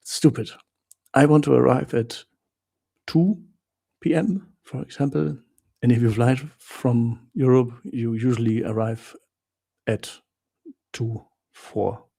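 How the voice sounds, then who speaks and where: A German-accented man hosts a relaxed live Q&A for his viewers. His low voice is gravelly with a husky edge, and his tone is dark and mellow. He stops often to hunt for English words, then sums things up in short, blunt exclamations. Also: slow pace, pauses often. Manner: hesitant, searches for words with many fillers, blunt exclamations. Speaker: livestream host answering viewer questions. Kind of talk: casual live Q&A chat.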